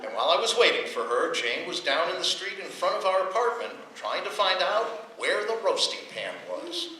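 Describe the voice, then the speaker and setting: A man's voice: high-pitched, big pitch swings, moderate pace, sharp tone, heavily acted. A man playing a wry, put-upon, grumbling husband who narrates an old-time radio comedy. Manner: dry, wisecracking, grumbling. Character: wry, put-upon husband telling his domestic troubles. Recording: echoey room, distant mic, faint noise.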